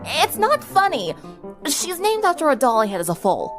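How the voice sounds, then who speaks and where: Gentle, earnest teen girl, acted by a female reader: compassionate, a little sheepish, storybook drama over clear music. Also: high-pitched, huge pitch swings, moderate pace, voice pushed up, exaggerated voice. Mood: flustered, whining. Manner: compassionate, patient, easily embarrassed. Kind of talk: dramatic fan-fiction reading.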